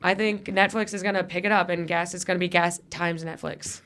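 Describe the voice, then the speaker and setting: A woman's voice: lowish voice, fairly even intonation, fairly quick, a bit bright. A woman from Buffalo hosting a comedy podcast in a studio. She chats casually, swears often and jokes around, and her voice is a little worn from vaping. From Buffalo, New York. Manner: crude, jokey, rambling. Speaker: podcast host.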